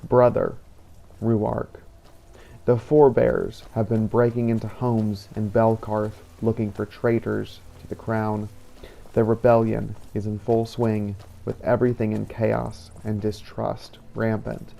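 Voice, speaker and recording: A man's voice: on the low side, flat delivery, takes it slowly, vocal fry, mellow, low-quality recording. A man reading as a worried younger sister writing to her brother, earnest and grave, in a fantasy roleplay. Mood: worried, reproachful. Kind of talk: letter read aloud in fantasy roleplay.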